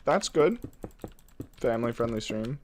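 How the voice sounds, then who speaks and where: Brief: congested male game streamer, lively up-and-down delivery, playful rambling, faint keyboard clicks behind. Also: medium pitch, unhurried, noisy background. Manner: playful, rambling, chuckles often. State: congested, runny nose. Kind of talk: casual live-stream chat while building.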